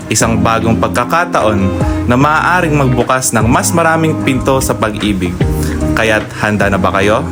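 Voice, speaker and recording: A man narrating a scripted radio drama as its host, speaking to listeners directly in a teasing way. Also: mid-range voice.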